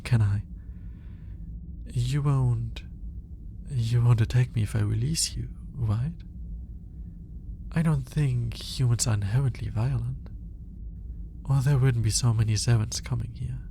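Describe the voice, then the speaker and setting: A man playing a lonely, lovelorn alien scientist in an intimate ASMR roleplay, musing aloud in a hesitant, halting manner. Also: mid-range voice, steady tone, gravelly, background noise. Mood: awkward, shyly smitten. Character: lonely male alien scientist, obsessive, awkward, smitten, lovelorn.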